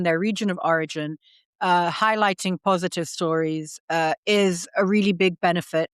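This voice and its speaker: A woman hosting her own podcast reflects in an earnest, measured way, pausing on fillers and restarting her sentences. Her voice is smooth and resonant, recorded close and dry with no room sound. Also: fairly low pitch, a bit bright, dry recording. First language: English. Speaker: podcast host.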